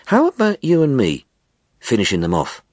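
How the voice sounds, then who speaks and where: Brash young working man, impatient and cheeky, lifted pitch with big swings, close dry sound performed by a male storyteller. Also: wildly swooping pitch, normal speed, dry recording. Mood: brash, coaxing. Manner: sharp, pushy, colloquial.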